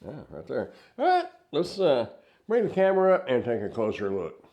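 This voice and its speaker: Older man, mellow casual product walkthrough. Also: mid-range voice, wildly swooping pitch, moderate pace, highs cut off. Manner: plain-spoken, step-by-step explaining. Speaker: product reviewer. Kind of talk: casual hands-on product review.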